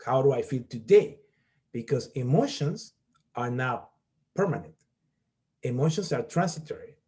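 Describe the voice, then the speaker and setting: A man's voice: medium pitch, expressive, normal speed, warm tone. Earnest Peruvian-born man teaching online. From Peru. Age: middle-aged. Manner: earnest, explaining step by step with frequent "okay?". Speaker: college professor leading a workshop. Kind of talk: online workshop presentation.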